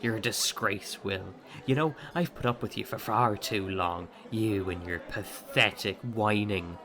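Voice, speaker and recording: Swaggering, slow-talking pirate captain. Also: male voice, on the low side, a crowd in the background. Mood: scornful, bullying. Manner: swaggering, taunting, indignant. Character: boastful, bullying young upstart pirate captain. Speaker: actor in an audio play. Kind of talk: theatrical audio drama dialogue.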